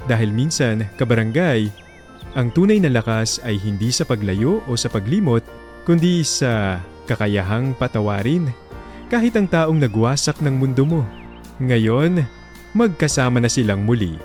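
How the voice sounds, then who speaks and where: Male Filipino radio narrator, medium pitch, sentimental scripted storytelling over a music bed. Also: very animated, a little slow, a little mellow. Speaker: radio drama host and narrator.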